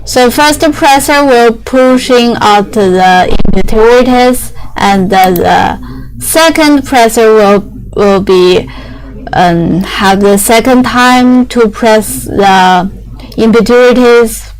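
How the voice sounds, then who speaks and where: A young woman whose first language is Chinese, explaining factory machinery in halting English with many fillers and restarts. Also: on the high side, rough recording.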